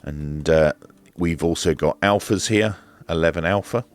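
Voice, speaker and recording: Very deep-voiced man reviewing gear. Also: moderate pace, clear, resonant tone, faint music behind. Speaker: presenter of a radio equipment review. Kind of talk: hands-on demonstration and review commentary.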